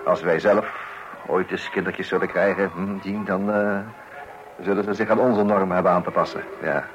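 A man's voice: sits low, steady tone, normal speed, dull audio, background noise, natural voice. An actor playing a pompous, orderly married man with strict old-fashioned views in a radio play, tutting and repeating himself. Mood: pompous disapproval. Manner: pompous, opinionated, with tutting repetitions.

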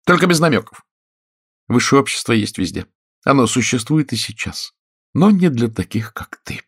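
Casting: male narrator as a theatrical, spiteful woman of the stage, raised pitch, lively swings, audiobook dialogue. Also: normal speed, breathy edge, no echo, clean studio sound. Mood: theatrically tragic. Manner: spiteful, ironic, melodramatic. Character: haughty, shrill actress woman, venomous and theatrical.

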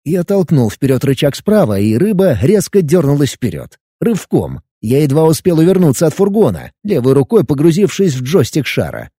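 Lively husky male audiobook narrator. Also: on the high side, normal speed, few pauses, no room sound, clean studio sound. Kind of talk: first-person audiobook narration.

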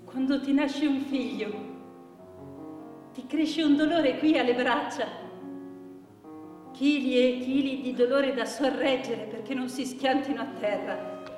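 Casting: grieving, weary mother, high voice, broken stage monologue, echoing hall with piano behind. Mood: grieving, solemn. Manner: slow, broken phrases with repetitions. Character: grieving mother of an assaulted daughter, anguished, weary. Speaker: stage actress. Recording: echoey hall, distant mic, piano music.

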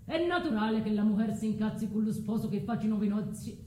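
An actress playing a smug, condescending husband in a tragic stage monologue, patronising and mixing in Spanish words. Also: female voice, medium pitch, animated, fast, mellow, a little hiss or hum, natural voice. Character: Jason, smug condescending husband, a grown man. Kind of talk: solo stage monologue of classical tragedy. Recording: roomy stage sound with music.

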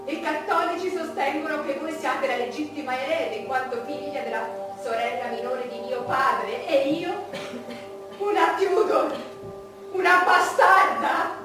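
Imperious queen, high-voiced, echoing stage. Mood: bitter, menacing. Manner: declamatory, dramatic pauses, swinging from hushed to thundering. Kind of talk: theatrical stage monologue in Italian drama. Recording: very echoey stage, distant mic, music behind.

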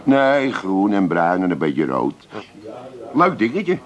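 A small-time crook from a comic radio play. He is cheeky and streetwise, forever grumbling or wheedling. His pitch swings widely in lively, animated swoops. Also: slow pace, dull audio, heavily acted. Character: cheeky small-time Amsterdam crook, indignant about jail.

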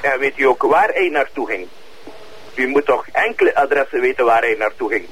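A man's voice: medium pitch, lively intonation, a little fast, fairly bright tone, a little hiss or hum, natural voice, low-quality recording. An actor playing a suspicious Flemish police investigator in a radio drama, pressing his point insistently and repeating himself. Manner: pressing, repetitive.

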